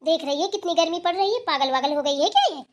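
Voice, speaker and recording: A high, brusque voice put on by a woman for an old village grandmother in a cartoon. She grumbles and scolds bluntly, with fondness underneath. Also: expressive, fast, noisy background. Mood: grumbling. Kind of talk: Hindi village family cartoon acting.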